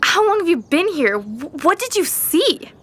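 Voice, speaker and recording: A woman's voice: very high voice, very animated, normal speed, a bit bright, a little hiss or hum, exaggerated voice. A woman playing an earnest, headstrong young sorceress in a fantasy audio drama. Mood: indignant.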